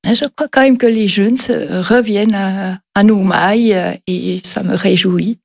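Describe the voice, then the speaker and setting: An older woman speaks into a studio microphone as a radio guest. Her voice is gravelly and somewhat husky, and she answers in a halting, hesitant way with short agreeing replies. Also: mid-range voice, steady tone, darkish tone. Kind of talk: casual interview answers.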